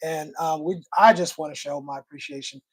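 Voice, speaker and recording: A man's voice: on the high side, very animated, fairly quick, gravelly, slightly rough, poor audio. A man co-hosting a call-in livestream, talking casually and emphatically with many restarts. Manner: restarts often, emphatic and friendly. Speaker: livestream co-host.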